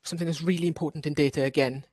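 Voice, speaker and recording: A man's voice: on the high side, fairly even intonation, racing, a little mellow, no echo, clean studio sound. A man from Wales giving an online workshop presentation as a researcher, explaining steadily with occasional restarts over a video call.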